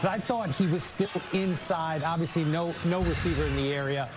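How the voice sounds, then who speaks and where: A male rules analyst gives explanatory sports commentary. His voice is a little high. Clear noise runs through the game rebroadcast. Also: fairly quick.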